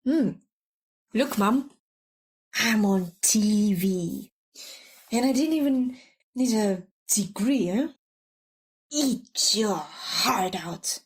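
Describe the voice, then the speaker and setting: A woman playing a jaded, blunt cleaning lady turned news anchor in an audio drama, offhand and muttering. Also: medium pitch.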